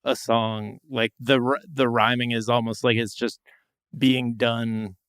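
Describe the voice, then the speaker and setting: A man's voice: medium pitch, little pitch movement, unhurried, a bit bright, clear, resonant tone, no room sound, studio quality. A man chatting casually as a host. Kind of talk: casual chat.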